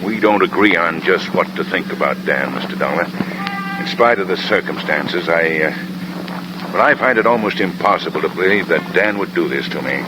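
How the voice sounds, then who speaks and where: An actor playing an earnest, troubled older store manager in an old-time radio drama. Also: male voice, low-pitched, normal speed, lightly acted. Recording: noisy vintage radio recording.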